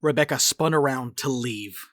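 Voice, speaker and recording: A woman's voice: very deep voice, normal speed, a bit bright, no echo. A woman reading scripted narration and stage directions for a dramatic reading.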